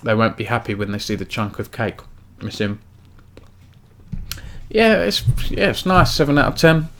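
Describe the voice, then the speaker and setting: A man hosting a casual weekly esports news roundup, speaking in a flat, self-described monotone and peppering his talk with "um" and "uh". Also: mid-range voice, normal speed, a little hiss or hum. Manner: self-described monotone, filler-heavy delivery. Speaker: esports news editor and host.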